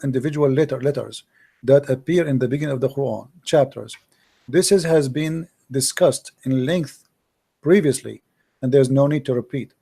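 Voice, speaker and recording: Brief: male debate host, warm low-key tone, lecturing a caller. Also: vocal fry, slightly rough. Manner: questioning, explains patiently, reads passages aloud. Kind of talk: live call-in debate, reading texts aloud.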